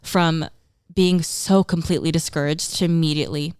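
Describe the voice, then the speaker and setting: A woman's voice: low voice, moderate pace, smooth, dry recording, studio quality. A young woman whose first language is Tagalog, casually telling a story in a podcast chat and acting out what people said. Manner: animated, acts out quoted lines in her own voice. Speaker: podcast guest.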